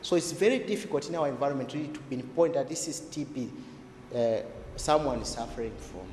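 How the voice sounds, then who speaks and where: A man speaking as a health expert in a didactic, deliberate way, asking questions and answering them himself as he explains. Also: medium pitch, a little mellow. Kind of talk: explanatory interview talk for a documentary. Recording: echoey hall-like room, faint noise.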